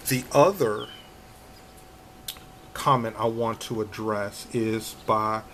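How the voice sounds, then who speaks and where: A man in his fifties with a soothing voice, vlogging casually from a hotel room, talking slowly with many restarts. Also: medium pitch, fairly bright tone, slight background noise. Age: 50s. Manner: slow, repetitive, many restarts and fillers. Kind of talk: casual vlog chat. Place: extended stay hotel room.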